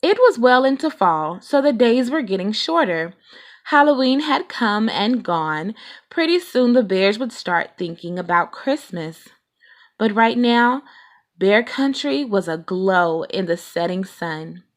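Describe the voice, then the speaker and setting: A woman reading a children's storybook aloud in an expressive, deliberate storytelling manner. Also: medium pitch. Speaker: read-aloud reader.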